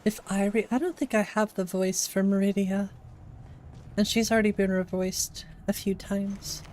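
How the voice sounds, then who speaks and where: Brief: woman streamer, casual wry gameplay chatter, soft mellow tone, flat delivery. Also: mid-range voice, moderate pace, slight background noise. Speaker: game streamer. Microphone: XLR microphone.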